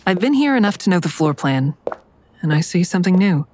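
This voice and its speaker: Blunt, guarded female lead: deep-voiced, dry first-person narration and dialogue for audio drama. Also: very animated, brisk, darkish tone, slight background noise. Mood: probing, guarded. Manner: dry, terse. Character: guarded, blunt, defiant woman narrating her story.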